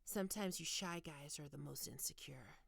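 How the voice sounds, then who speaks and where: A woman playing a stern, teasing goth college professor in an ASMR roleplay, speaking deliberately and condescendingly into a close microphone. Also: low-pitched, vocal fry, full character voice. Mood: probing, taunting. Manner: condescending, taunting, deliberate with pauses. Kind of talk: ASMR roleplay, scripted monologue to listener.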